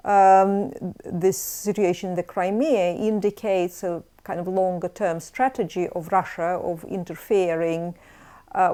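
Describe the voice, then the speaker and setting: A woman's voice: fairly low pitch, mellow. A woman in her sixties speaking carefully in an interview in a book-lined study. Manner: careful, thoughtful. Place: study with bookshelves.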